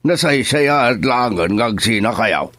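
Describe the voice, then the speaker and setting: A man's voice: mid-range voice, expressive, measured pace, fairly bright tone, no room sound. An actor playing a worried, stern middle-aged father in a radio drama, talking earnestly and scolding. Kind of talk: Ilocano radio drama acting.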